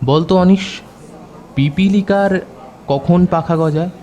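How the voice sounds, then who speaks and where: A man's voice: high voice, slow, mellow, muffled recording. A man playing a confident, secretive young detective in an audio drama, with a knowing, conversational manner. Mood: teasing, friendly. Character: confident, secretive young male detective among his friends.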